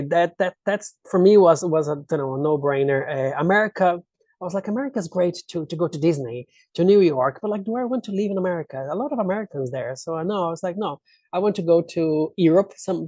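A man's voice: on the high side, mellow, dry recording. A man from Brazil with a Brazilian accent and native Portuguese, telling personal stories casually as an interview guest and acting out what people said.

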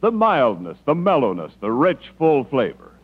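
A man delivering a polished, measured commercial pitch as a 1940s radio announcer. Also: huge pitch swings, slightly rough. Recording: muffled 1940s radio broadcast.

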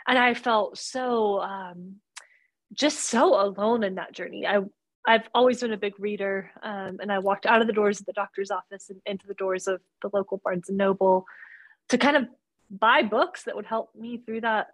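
A woman in her thirties answers interview questions in an earnest, thoughtful way. She often pauses with fillers as she gathers her words. Her voice sits at an ordinary pitch and sounds close and dry, with no room echo. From born in Romania, raised in North Carolina. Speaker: guest author. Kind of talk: casual interview.

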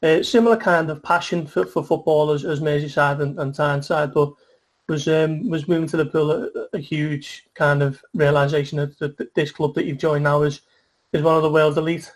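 A man's voice: on the high side, level tone, a little fast. A man interviewing a former footballer, asking long questions full of restarts. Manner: rambling questions with many restarts.

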